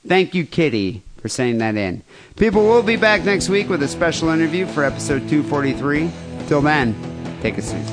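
A male comedy podcast host with a lively voice that swings up and down as he talks. He chats loosely and throws in crude jokes along the way. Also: a little high, normal speed, over music. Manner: crude jokes, reads listener mail aloud. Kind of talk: casual comedy chat.

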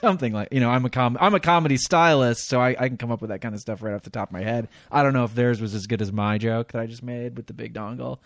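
A man's voice: mid-range voice, fairly even intonation, quick pace, few pauses, no room sound. Blunt, profane man on a podcast. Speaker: podcast co-host. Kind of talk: casual chat.

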